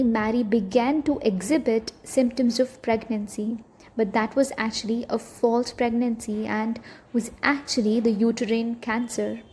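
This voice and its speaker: A woman's voice: a little high, a little mellow, husky. A woman reading scripted educational narration for a short history film.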